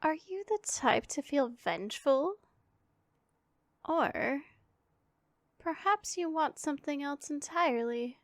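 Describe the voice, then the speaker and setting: A woman voicing a powerful female demon in an ASMR roleplay, imperious and teasing with a secretly caring streak, speaking in formal, deliberate phrases. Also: high voice, lively intonation, no echo, full character voice. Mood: haughty, probing.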